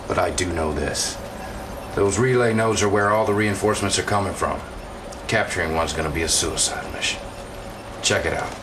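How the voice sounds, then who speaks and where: An actor playing a weary, doubting field officer in a video game cutscene, talking in a blunt, confiding, colloquial way. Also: male voice, deep voice, normal speed, lightly acted. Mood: troubled, blunt. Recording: distant mic, clearly noisy background.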